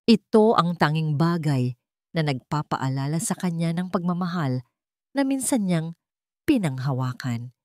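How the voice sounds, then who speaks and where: A woman with a fairly low voice narrates a romantic drama. Her delivery is emotive and melodramatic, and the sound is very dry, with little room in it. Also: lively intonation, unhurried, a little mellow, no echo. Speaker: story narrator.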